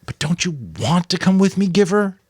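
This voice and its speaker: Man voicing an earnest young boy, pitched up high. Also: fairly even intonation, normal speed, darkish tone, no echo, clean studio sound. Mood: sad, hopeful. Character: earnest young boy, pleading and wide-eyed.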